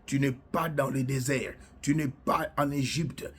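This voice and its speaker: Slow husky-voiced preacher. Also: male voice, medium pitch, steady tone. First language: Lingala. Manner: fervent, punctuates lines with alleluia; slow, drawn-out declaring delivery with repetitions. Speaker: preacher and self-styled prophet. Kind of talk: prophetic sermon with declarations.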